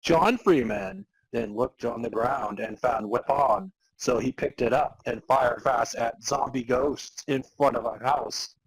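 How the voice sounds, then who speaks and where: Husky man narrating in mock-serious tones. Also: mid-range voice, unhurried, hardly any pauses, no echo, rough recording. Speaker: podcast host reading as narrator. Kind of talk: dramatic reading of amateur fan fiction.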